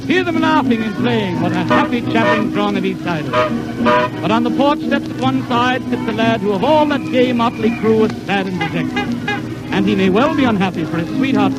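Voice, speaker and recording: High-pitched grandiose 1930s radio announcer man. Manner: grandiose, theatrical delivery. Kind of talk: florid scripted 1930s radio narration. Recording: muffled 1930s radio broadcast, faint music.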